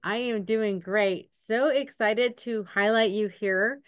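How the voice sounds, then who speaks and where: A woman's voice: mid-range voice, takes it slowly, clear, resonant tone, dry recording, lo-fi sound. A middle-aged woman hosting a podcast interview over a video call, upbeat and reading her guest's bio aloud. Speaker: podcast host.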